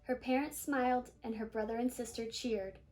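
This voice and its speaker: A woman with a high voice reads a children's picture book aloud. Her delivery is animated, drawing out important words for effect. Faint music plays along with the reading. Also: normal speed. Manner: animated storytelling, stressing and stretching key words. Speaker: storybook reader.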